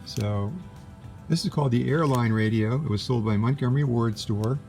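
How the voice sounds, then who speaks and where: A man casually demonstrating a vintage radio in a halting, unhurried way, as a collector explaining his set. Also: medium pitch, darkish tone. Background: vintage radio playing music and broadcasts.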